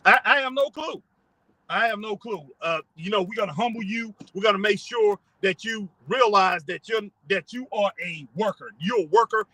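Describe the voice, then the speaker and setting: A man's voice: high-pitched, moderate pace, sharp tone, no echo, poor audio. A man hosting a casual live stream from his car, rambling and chatting with viewers as he reads their comments.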